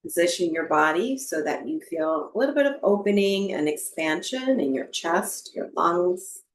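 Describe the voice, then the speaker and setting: A woman teaching yoga nidra and breathwork, giving guided meditation instructions in a gentle, soothing manner with long pauses. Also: on the low side, expressive. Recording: roomy, echoing voice recording.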